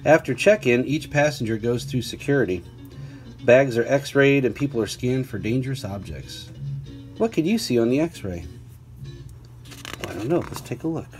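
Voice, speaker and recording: Casting: male storyteller, mid-pitched, deliberate children's read-aloud with chatty asides and playful questions, music underneath. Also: animated. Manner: clear, deliberate reading with playful questions to young listeners. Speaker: teacher reading a picture book aloud.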